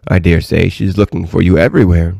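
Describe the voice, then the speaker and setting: A man voicing a very old, kindly and mysterious great-great-grandmother in a gentle, patient, measured manner. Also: deep voice, fairly even intonation, creaky, breathy edge, dry recording, clean studio sound, exaggerated voice. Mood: gentle, reassuring.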